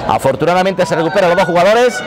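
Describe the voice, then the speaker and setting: A man with a high voice calls a local football match live, naming each pass and player in quick succession. He sits in the stand outdoors, and a loud crowd is heard around him. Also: expressive. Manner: rapid running commentary naming players. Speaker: football commentator. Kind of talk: live play-by-play commentary.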